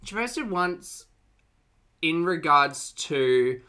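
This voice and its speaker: A man's voice: on the high side, lively intonation, very slow, pushed and bright, clear, resonant tone. An Australian man hosting a casual livestream, chatting to viewers in a playful, talkative way with cutesy slang. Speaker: livestream host and reactor.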